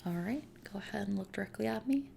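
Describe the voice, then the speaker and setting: A woman playing a friendly, reassuring technician at a futuristic customization service in a soft-spoken, casual ASMR roleplay. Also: medium pitch, animated, heavy background noise. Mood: gentle, reassuring. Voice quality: soft, creaky. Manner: gentle, reassuring, casual, unhurried.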